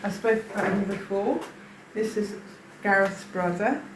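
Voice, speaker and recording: A woman's voice: medium pitch, a little slow, background noise. A woman in her fifties from South Africa, giving personal testimony in a hesitant, rambling way, full of fillers and restarts. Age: 50s. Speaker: interviewee giving a witness statement. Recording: roomy echo.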